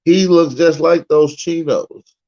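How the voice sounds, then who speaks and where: A man's voice: fairly high pitch, steady tone, slow pace, warm tone, highs cut off. A man co-hosting a call-in stream, talking crude and mocking about callers. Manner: crude, mocking trash talk. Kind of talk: casual streaming banter.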